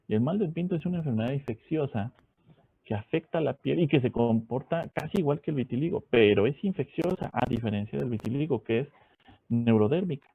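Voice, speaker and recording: A man's voice: medium pitch, dry recording. Mexican man lecturing, muffled phone-like sound. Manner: didactic, repeats key points with frequent checking tag questions. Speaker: medical school lecturer, doctor. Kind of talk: informal spoken lecture with anecdotes. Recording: dull phone-like lo-fi audio.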